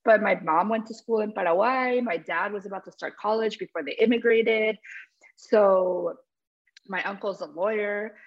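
A woman's voice: medium pitch, moderate pace. A young woman from New Jersey co-hosting a podcast, talking casually with lots of "like" fillers. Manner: conversational, many "like" fillers. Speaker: podcast co-host. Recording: dull lo-fi podcast audio.

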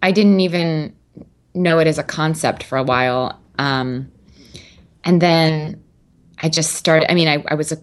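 A woman's voice: low-pitched, a little slow, long pauses. A woman in her twenties talking candidly as an interview guest, chatty and rambling with many fillers and restarts.

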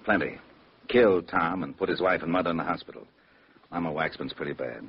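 An actor playing a weary, gruff police lieutenant with a husky voice and a blunt, exasperated manner in an old radio drama. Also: male voice, deep voice, a little fast, heavily acted. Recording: muffled old radio broadcast.

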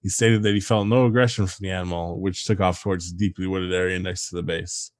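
A man's voice: sits low, fairly even intonation, quick pace, no echo, studio quality. A man hosting a comedy podcast, reading strange stories aloud and breaking into laughter and asides. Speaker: podcast host reading a countdown list.

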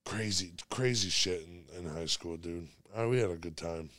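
Deep-voiced young man, profane and rambling. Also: normal speed, a bit bright, dry recording, clean studio sound. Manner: profane, rambling, laughs easily. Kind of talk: casual podcast chat.

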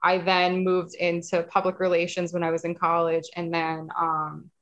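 Chill woman guest, lowish voice, pretty even monotone delivery. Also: moderate pace, muffled recording. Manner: calm, even, self-described monotone. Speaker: guest, marketing specialist. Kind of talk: casual interview talk.

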